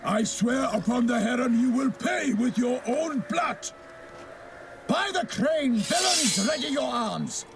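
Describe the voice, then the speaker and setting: An actor playing a noble, earnest knight errant in a video game, speaking in lofty, archaic, formal phrases. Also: male voice, very high-pitched, lively intonation, takes it slowly, heavily acted. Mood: righteous, declaiming. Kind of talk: video game cutscene acting.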